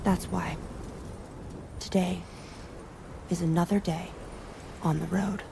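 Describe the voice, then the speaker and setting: An actress playing a wistful, solitary woman in a video game, narrating reflectively with measured pauses. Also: lowish voice, fairly even intonation, gravelly, background noise. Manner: measured, pausing between phrases. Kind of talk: slow, reflective scripted narration.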